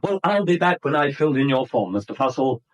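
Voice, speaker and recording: An actor playing a middle-aged English property speculator in a 1970s radio drama, mock-polite and slyly insinuating. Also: male voice, mid-range voice, very animated, fast talker, lightly acted. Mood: menacingly playful. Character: sly, insinuating small-time property speculator and blackmailer.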